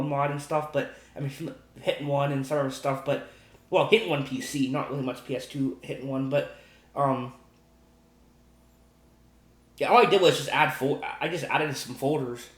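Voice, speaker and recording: A man casually explaining game modding off the cuff, in a halting, repetitive way that circles back over his points. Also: fairly high pitch. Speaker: gaming video host. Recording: echoey room sound.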